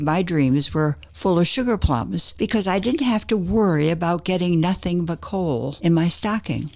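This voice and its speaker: A woman's voice: on the low side, darkish tone. An older woman reading her own personal essay aloud as a scripted story.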